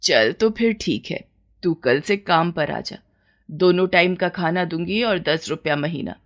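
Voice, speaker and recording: A woman voicing a rich, practical village mistress with a measured, matronly manner in a moral story. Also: on the low side, lively intonation. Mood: businesslike. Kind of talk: dramatized Hindi moral story.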